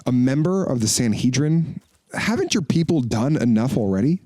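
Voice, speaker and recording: A wary, questioning biblical disciple voiced by a man in an audio drama. His voice sits at a middle pitch with a dry, close sound. He speaks with earnest intensity. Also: animated, moderate pace, warm tone, dry recording, natural voice. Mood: bitter suspicion. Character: Thomas, wary, questioning male disciple and builder.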